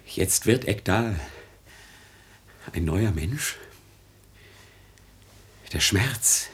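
An actor playing an earnest, exalted idealist who persuades solemnly in a husky voice, in a 1950s radio drama. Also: male voice, deep voice, heavily acted. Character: earnest, exalted idealist friend, insistently reassuring.

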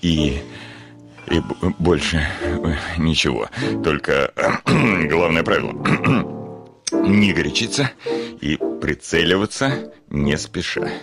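An actor playing a hot-tempered, bombastic landowner who is smitten despite himself, in a classic comedy radio play. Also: male voice, very deep voice, takes it slowly, loud music behind the voice, exaggerated voice. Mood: flustered, instructing. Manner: bombastic, volatile, exclamatory, sputtering.

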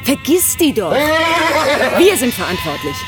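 A woman's voice: sits high, sing-song, takes it slowly, exaggerated voice. An actress playing a resolute, sensible herdsman's wife in a radio play, urgent and challenging. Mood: firm reasoning. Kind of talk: German radio play acting. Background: music and bleating sheep.

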